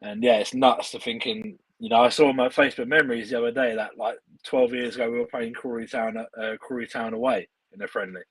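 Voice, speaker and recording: Husky man, excited and repetitive. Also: mid-range voice, fast, few pauses, no echo, rough recording. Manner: enthusiastic, repeats himself. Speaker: guest. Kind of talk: casual chat.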